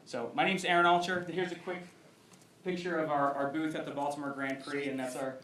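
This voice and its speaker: A man presenting a pitch to a live audience as a startup founder, in an emphatic stage delivery. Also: fairly even intonation, brisk, fairly bright tone, a little hiss or hum. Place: indoor event venue, speaking to a crowd. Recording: roomy echoing event hall, distant.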